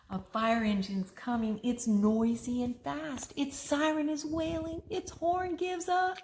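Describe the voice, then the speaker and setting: A woman reading a rhyming picture book aloud to children, expressively, pausing for a child to join in. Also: high voice, slight background noise. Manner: expressive, prompting a child to fill in rhymes. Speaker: story reader and co-host.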